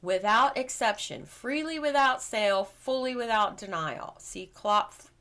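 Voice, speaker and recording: A woman reading scripted nonfiction aloud as a narrator, with measured, deliberate phrasing and emphatic pauses. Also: a little high, animated, sharp tone. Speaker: reader of a legal book.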